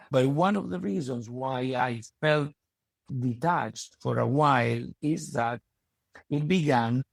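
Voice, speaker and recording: A man with a strong Italian accent speaking reflectively in an interview, pausing to search for his words. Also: mid-range voice, creaky, darkish tone, no echo. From Italy. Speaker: podcast guest and university educator. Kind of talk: conversational interview.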